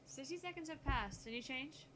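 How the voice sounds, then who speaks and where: A woman's voice: sits high, moderate pace, close to an everyday voice. A woman voicing a skeptical, analytical young female scientist with a snippy, matter-of-fact manner in a visual novel. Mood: brisk, commanding. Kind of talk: visual novel character dialogue read aloud. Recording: clear background noise.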